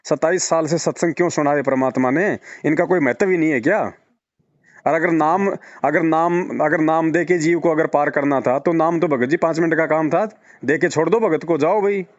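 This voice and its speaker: A man's voice: fairly high pitch, normal speed, no echo. A man arguing persuasively on a phone call, firing rhetorical questions and repeating words for emphasis. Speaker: religious devotee speaking to a follower. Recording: dull lo-fi phone call recording.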